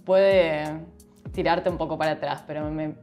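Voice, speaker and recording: A woman's voice: low voice, fairly even intonation, moderate pace, dull audio, faint music behind. A woman with an Argentine accent, raised in Paraguay, answering interview questions as an expert in international relations, thoughtful and often restarting her words.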